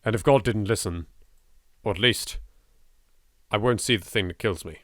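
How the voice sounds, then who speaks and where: A man's voice: fairly low pitch, normal speed, fairly bright tone, dry recording, clean studio sound. A man with an English accent doing let's play commentary, reading game text dramatically and adding sweary, jittery, sardonic asides. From England. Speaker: video game let's player.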